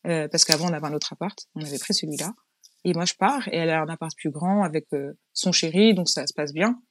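A woman's voice: low voice, quick pace, background noise. A woman from France, a guest in a casual interview, telling her story frankly in a chatty way with frequent restarts. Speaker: guest, business owner.

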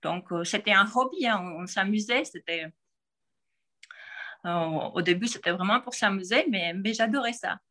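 A woman in her forties with a Georgian accent, answering interview questions in a halting way, with frequent pauses and restarts. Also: mid-range voice, bright, forceful tone. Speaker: podcast guest.

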